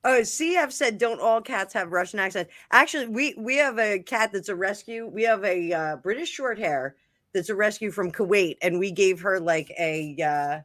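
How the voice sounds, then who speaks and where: A woman with a New Jersey accent, co-hosting a casual livestream and chatting in an animated way. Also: medium pitch, normal speed, no echo, studio quality. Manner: animated, chatty, laughs a lot. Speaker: co-host of a tarot livestream. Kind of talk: casual chat.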